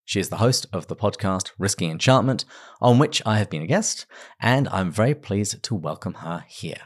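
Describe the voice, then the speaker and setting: Measured, low-voiced male podcast host. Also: husky. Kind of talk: scripted intro, then conversation.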